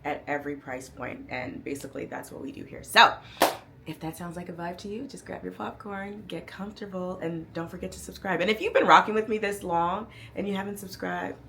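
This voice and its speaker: Woman with a low voice, chatty and upbeat. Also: brisk, creaky, background noise. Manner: chatty, upbeat, playful slang, hypes herself up. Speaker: fashion and lifestyle vlogger. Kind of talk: casual outfit breakdown to camera.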